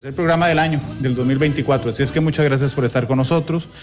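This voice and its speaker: A man's voice: medium pitch, very fast. A man hosting a live talk show, addressing listeners directly in an earnest way. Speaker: show host.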